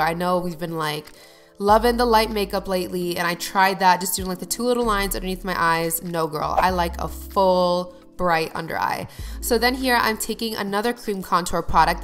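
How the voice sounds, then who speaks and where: A young adult woman narrating her makeup routine to viewers in an upbeat, chatty way, sounding a little nasal from a cold. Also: medium pitch. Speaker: beauty vlogger. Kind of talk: get-ready-with-me voice-over.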